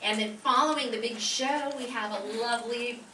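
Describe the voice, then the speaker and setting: A woman's voice: a little high, moderate pace. A woman casually introducing a cast as host of a live stage show. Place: echoey venue before a live audience. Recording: live hall, echoey, distant mic, loud crowd noise.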